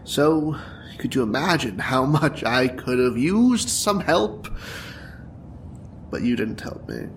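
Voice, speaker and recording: A male actor plays a bitter young supervillain in an audio drama. He speaks slowly and tauntingly, with dramatic, very animated swings of pitch. Clear noise and ambience sit behind him. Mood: bitter, accusing. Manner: taunting, dramatic, profane, breaking into whispers and laughter. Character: bitter, wounded, theatrical young male supervillain with mind-control powers.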